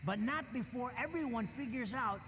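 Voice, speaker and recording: High-pitched weaselly American hustler. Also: male voice, normal speed. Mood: chatty, scheming. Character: weaselly, wheedling small-time male informant, excitable and greedy. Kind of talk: video game cutscene acting. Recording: noisy, long echoing reverb.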